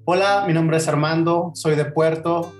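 A man's voice: a little high, steady tone, a little slow, soft background music. Mexican man in an echoey room. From Acapulco, Mexico. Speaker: podcast guest. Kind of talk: personal storytelling in an interview.

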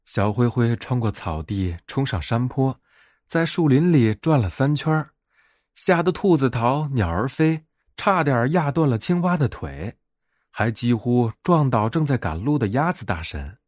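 A man narrating a bedtime fairy tale as a storyteller, in an expressive scripted read. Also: mid-range voice, measured pace, no echo, clean studio sound.

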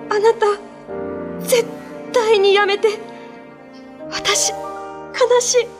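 A gentle spirit of a sacred tree, taking the form of a young wife, voiced by a woman, pleads in tears in a radio drama. Her voice sits very high. Music plays softly beneath her. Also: fairly even intonation, very slow, exaggerated voice. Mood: pleading. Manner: pleading, tearful. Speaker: voice actress.

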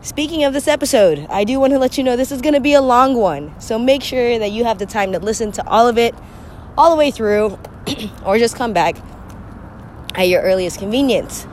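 A young woman hosting her solo podcast, chatting candidly with a scratchy voice from a cold, often clearing her throat and laughing. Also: fairly high pitch, expressive, normal speed. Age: young adult. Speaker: podcast host. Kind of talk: casual solo podcast monologue.

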